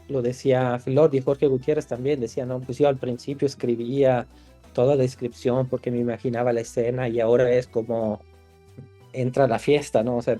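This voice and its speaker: A man's voice: mid-range voice, mellow. A man from Italy, a screenwriter guest in a podcast interview, talking thoughtfully with frequent restarts. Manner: thoughtful, many restarts and fillers.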